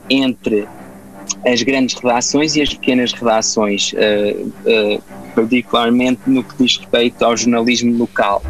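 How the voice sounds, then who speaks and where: A man's voice: medium pitch, a bit bright, rough recording. A man in his thirties from Portugal with a European Portuguese accent, answering an interview in a hesitant way with many fillers and restarts. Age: 30s. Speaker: interview guest. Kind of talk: casual conversational answers.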